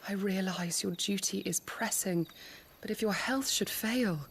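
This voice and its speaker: An actress playing a quiet, loyal female attendant to a noble, speaking softly and respectfully in hushed tones. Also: mid-range voice, unhurried, creaky, a little hiss or hum. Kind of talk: game cutscene acting.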